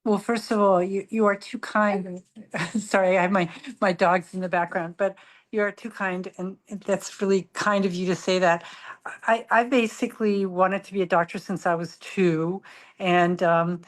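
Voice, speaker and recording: A woman's voice: medium pitch, steady tone, normal speed. A middle-aged woman surgeon speaking from home on an online panel, talking in a rambling, self-effacing way. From grew up in Tokyo and Singapore. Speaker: surgeon guest.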